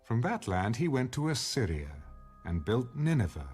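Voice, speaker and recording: A man reading scripture as an audiobook narrator in a measured, solemn manner. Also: medium pitch, sing-song, a little mellow, husky, faint music behind.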